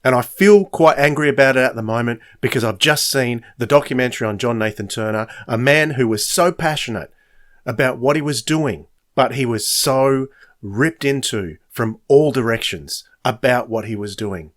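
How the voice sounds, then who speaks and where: A man's voice: medium pitch, normal speed. A man hosting a podcast, giving an opinionated monologue in an earnest, emphatic way, stressing points by repetition. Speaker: podcast host.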